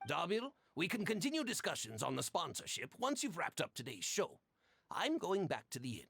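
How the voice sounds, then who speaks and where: An actor playing a courteous townsman in a video game, with a raspy, creaky voice. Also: on the high side, wildly swooping pitch, brisk, fairly bright tone, no echo, exaggerated voice. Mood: courteous, businesslike.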